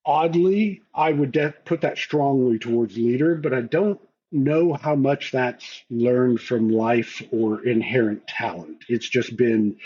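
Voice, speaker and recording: Co-host, male: husky, measured and reflective, slightly dull lo-fi recording. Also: mid-range voice, a little mellow. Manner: reflective, measured, self-analyzing. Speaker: podcast co-host. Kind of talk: casual podcast chat.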